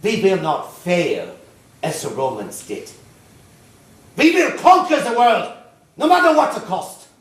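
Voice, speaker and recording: Pompous, high-pitched Nazi officer, echoey. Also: male voice, sing-song, measured pace, pauses often. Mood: resolute, fervent. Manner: pompous, declamatory, with bursts of shouting. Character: fanatical Nazi officer, menacing, volatile adult man. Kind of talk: theatrical stage acting. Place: indoor theatre stage, recorded from a distance. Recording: echoey stage hall, distant mic.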